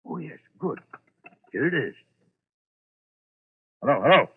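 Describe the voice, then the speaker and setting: A man's voice: a little high, sing-song, a little slow, breathy edge, full character voice. An actor playing a domineering, haunted man close to breaking, imperious but stammering when rattled, in vintage radio suspense style. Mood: brooding, uneasy. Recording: old radio, thin narrow-band sound.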